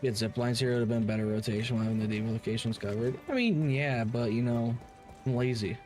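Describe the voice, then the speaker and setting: A man's voice: mid-range voice, quick pace, darkish tone, faint music behind. A man doing casual live game stream commentary in a blunt, opinionated manner. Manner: blunt, opinionated, heavy swearing.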